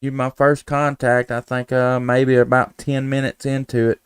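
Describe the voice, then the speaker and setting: Male amateur radio streamer. Very crisp, resonant voice, dry recording, folksy and unhurried in his radio chat. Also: mid-range voice, flat delivery, no echo. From United States, Tennessee. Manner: folksy, easygoing, with radio call-sign phrasing. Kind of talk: casual ham radio chat on a live stream.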